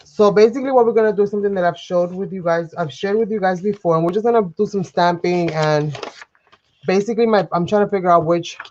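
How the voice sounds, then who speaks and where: A woman's voice: medium pitch, quick pace, warm tone, dull audio. Sniffly woman chatting through a craft stream. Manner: chatty, rambling, talks to viewers as you guys. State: sniffly, under the weather. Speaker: craft livestream host. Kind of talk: live crafting tutorial. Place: home craft desk. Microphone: overhead camera livestream, speaker off-frame.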